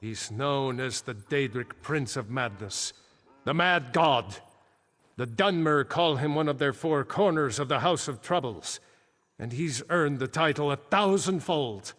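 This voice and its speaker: A solemn ancient archmage, echoing. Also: male voice, mid-range voice, lively intonation, unhurried, a bit bright. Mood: grave, warning. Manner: grave, formal, portentous. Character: solemn ancient male archmage returned from death. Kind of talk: fantasy video game dialogue. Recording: echoing game hall with long reverb.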